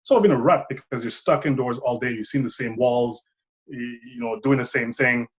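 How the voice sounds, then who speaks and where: A man's voice: medium pitch, moderate pace, lo-fi sound. A man in his thirties from Saint Lucia, a filmmaker guest talking thoughtfully and rambling a bit. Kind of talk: casual interview chat.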